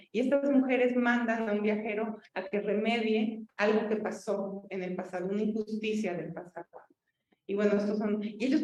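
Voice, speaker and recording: A woman's voice: mid-range voice, a little mellow. A woman describing a film in a seminar talk, conversational and full of tag questions. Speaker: seminar presenter. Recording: echoey dull video call audio.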